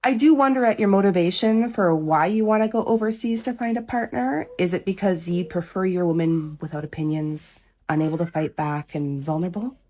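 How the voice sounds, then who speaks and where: A woman's voice: fairly low pitch, fairly quick, few pauses, dark tone. A woman commenting in a clip in a sarcastic, probing way.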